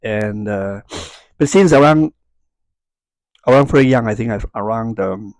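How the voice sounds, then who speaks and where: A man in his fifties from Hong Kong, a native Cantonese speaker with a Hong Kong Cantonese accent, talks casually to viewers, haltingly searching for words. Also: on the low side, darkish tone, dry recording, clean studio sound. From Hong Kong and Macau. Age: 50s. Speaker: YouTuber. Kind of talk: casual talk to viewers, unscripted.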